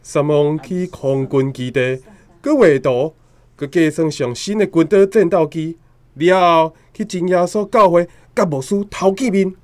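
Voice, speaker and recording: A man's voice: on the high side, a little slow, darkish tone, dry recording, lightly acted. A man plays a dutiful Taiwanese informant in a radio drama. He reports to his superior in clipped, eager phrases, recorded very dry. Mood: conspiratorial.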